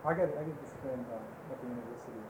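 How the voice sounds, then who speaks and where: A man's voice: medium pitch, very fast. A man with a Filipino accent, interviewing in an easygoing chat and agreeing while adding his own points. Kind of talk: casual conversation. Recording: noisy amateur recording.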